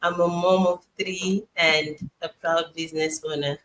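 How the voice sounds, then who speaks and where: A woman from Nigeria, a panelist, introducing herself briefly and softly on an online show. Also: fairly low pitch, flat delivery, unhurried. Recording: echoey noisy remote line.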